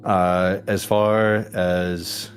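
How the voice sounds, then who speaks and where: A man's voice: low voice, little pitch movement, extremely slow. A man casually improvising what his character does as a player in a tabletop roleplaying game. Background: soft music bed.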